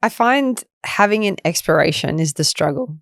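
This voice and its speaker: A woman's voice: on the low side, animated, normal speed, no echo, clean studio sound. A woman hosting a podcast in casual conversation, with informal, encouraging interjections. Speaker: podcast host. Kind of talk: casual chat.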